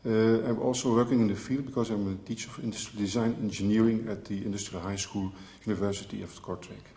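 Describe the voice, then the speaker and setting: A man's voice: fairly low pitch, steady tone, fast, warm tone. A man from Belgium introducing himself at a meeting, plain with slight hesitations. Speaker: design teacher and event organizer. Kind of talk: round-table self-introduction. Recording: echoey hall.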